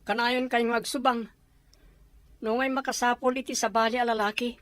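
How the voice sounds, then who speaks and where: A woman's voice: on the high side, flat delivery, normal speed, a bit bright, no echo, close to an everyday voice. A woman playing a questioning, chiding grown child in an Ilocano radio drama, with an Ilocano accent.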